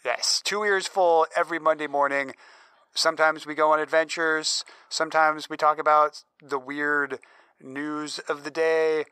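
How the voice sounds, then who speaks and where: A man hosting a podcast and reading an upbeat plug for listeners. His voice is bright and crisp, recorded dry and close with no room sound. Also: a little high, fairly even intonation, measured pace, no room sound. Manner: upbeat, promotional. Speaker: podcast host. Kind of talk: scripted promo read.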